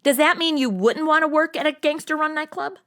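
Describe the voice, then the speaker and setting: A woman's voice: high voice, brisk, fairly bright tone. A woman playing a bubbly young podcast host with casual, valley-girl slang in a scripted comedy interview. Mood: curious, casual. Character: bubbly slangy modern young woman hosting history segment.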